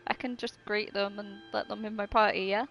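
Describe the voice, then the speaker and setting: A woman's voice: medium pitch, flat delivery, fairly quick, gravelly, lo-fi sound, faint music behind. A woman game streamer giving casual, joking live commentary with some swearing.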